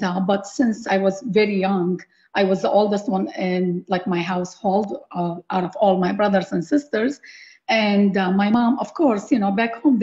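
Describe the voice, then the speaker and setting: A woman's voice: medium pitch, darkish tone. A middle-aged or older woman from Baghdad with an Iraqi accent, telling stories casually as an interview guest and often saying "you know" and "like". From Baghdad, Iraq. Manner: peppers talk with "you know" and "like". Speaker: interview guest, cookbook author. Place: living room at home, on a video call. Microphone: video call computer mic. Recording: dull lo-fi video call audio.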